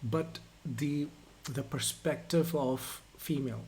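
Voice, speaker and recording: A man's voice: mid-range voice, darkish tone. Reflective man with faint background noise. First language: Urdu. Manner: reflective, halting, repeats words. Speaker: song reaction co-host. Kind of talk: casual reaction chat.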